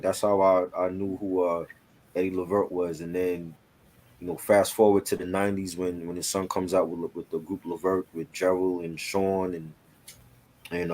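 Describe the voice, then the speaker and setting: A man's voice: low voice, low-quality recording. A man co-hosting a casual chat, talking in an informal, conversational way peppered with "you know". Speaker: co-host.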